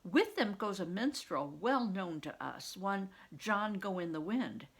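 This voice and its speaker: A woman voicing a kindly, patient male monk who teaches in archaic, measured phrasing for a storybook. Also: mid-range voice, expressive, breathy edge, full character voice. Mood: reassuring.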